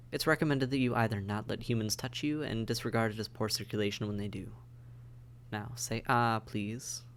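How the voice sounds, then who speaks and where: A man's voice: lowish voice, a little hiss or hum, close to an everyday voice. A man playing a weary vampire intake officer in an audio roleplay, matter-of-fact and bureaucratic, with dry hesitation, speaking straight to the listener. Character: weary, businesslike male vampire intake officer mentoring newcomers. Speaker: medical examiner and caseworker.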